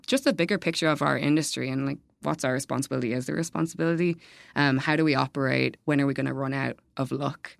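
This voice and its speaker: A woman speaking as a guest on a podcast, answering in a relaxed conversational way with light laughs and frequent fillers. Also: low-pitched, vocal fry, dry recording. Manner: laughs lightly, many ums and kind-ofs. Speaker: events manager, podcast guest. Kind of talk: casual interview answers.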